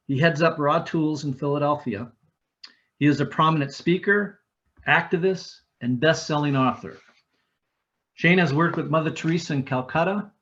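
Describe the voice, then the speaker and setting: A man, a chapter organizer, reads a measured, formal introduction of a guest speaker. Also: fairly high pitch, fairly bright tone, highs cut off. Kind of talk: reading a prepared introduction.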